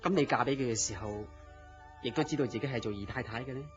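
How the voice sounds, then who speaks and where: A man's voice: mid-range voice, fast talker, close to an everyday voice. An actor with a Hong Kong Cantonese accent playing a polite, bashful man courting his boss's mistress in a radio drama, deferential and hesitant. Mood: sympathetic, envious. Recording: muffled lo-fi radio drama, music behind.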